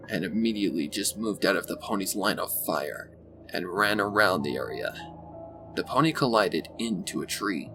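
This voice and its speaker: Low-voiced man narrating a story over music. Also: poor audio. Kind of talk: scripted audiobook-style story narration. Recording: music bed behind the voice. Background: loud background music.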